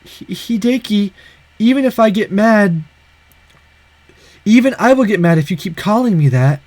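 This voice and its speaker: A man voicing a shy, defensive teenage girl with a soft, hesitant manner in a visual novel. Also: high voice, animated, normal speed, no room sound. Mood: hurt, hesitant.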